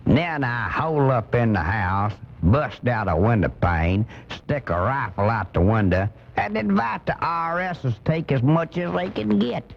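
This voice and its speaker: An angry working-class American conservative on a comedy radio show. He rants bluntly and indignantly, with lively swoops in his voice. The sound is a dull cassette copy of a broadcast. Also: mid-range voice, a little slow, gravelly, few pauses, slight background noise. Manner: indignant, blunt, folksy ranting. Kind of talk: comic radio commentary monologue.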